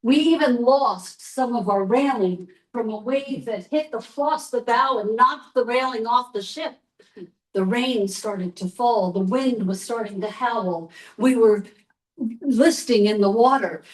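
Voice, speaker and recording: A woman storyteller playing a wistful, sea-loving 19th-century Norwegian captain's wife, telling her tale in the first person with a deliberate, dramatic delivery. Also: a little high, distant mic, close to an everyday voice, poor audio. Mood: grave, dramatic.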